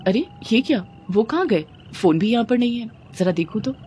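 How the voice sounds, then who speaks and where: A woman's voice: fairly high pitch, expressive, moderate pace, mellow, a little hiss or hum, voice pushed up, close to an everyday voice. A woman voicing a suspicious young wife, anxious but determined, in a storybook tale. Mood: worried.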